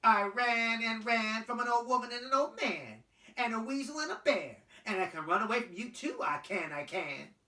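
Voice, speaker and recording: A cheeky little johnny cake boasts in a bright sing-song as a storyteller acts it out. The voice is lifted and lively, heard from a little way off in a roomy space. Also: mid-range voice, normal speed, pitched above the performer's own voice. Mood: cheeky, boastful. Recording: roomy, distant microphone.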